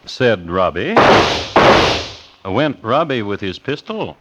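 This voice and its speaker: A man's voice: on the low side, very animated, slow pace, lo-fi sound, very noisy. A man narrating a scripted 1950s radio crime drama in a florid, theatrical storytelling manner. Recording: vintage radio broadcast with music behind. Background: music.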